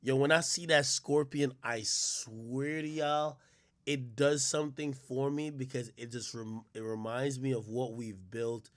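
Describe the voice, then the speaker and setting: A man hosting his own live stream, talking casually and with a lot of swearing as he addresses his chat directly. His voice sits at an ordinary pitch. The sound is close and dry, with no room around it. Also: fairly even intonation, unhurried, no room sound. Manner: loose, profane, talks straight to chat.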